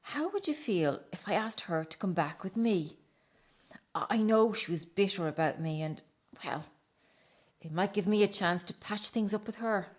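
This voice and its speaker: A middle-aged woman acting in a radio drama as a remorseful, hurt estranged wife and mother, speaking in an emotional, halting, confessional way. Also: medium pitch, lively intonation, lightly acted. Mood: concerned, gentle.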